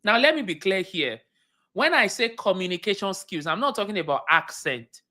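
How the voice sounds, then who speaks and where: A woman's voice: medium pitch, animated, normal speed, sharp tone. A woman with a Nigerian accent coaching viewers on a livestream. She talks emphatically, repeats her key points and puts questions to her audience. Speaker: cybersecurity career coach. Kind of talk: livestream teaching talk.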